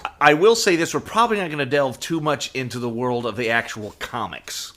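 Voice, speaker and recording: A man's voice: mid-range voice, brisk, fairly bright tone. A man co-hosting a casual podcast chat in a wry, joking manner. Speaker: podcast co-host. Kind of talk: casual chat about superhero shows.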